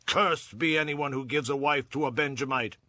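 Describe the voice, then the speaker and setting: An actor playing a solemn elder of an ancient assembly in an audio Bible drama, formal and declamatory. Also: male voice, medium pitch, normal speed, bright, forceful tone, no echo, lightly acted. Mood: troubled, resolute.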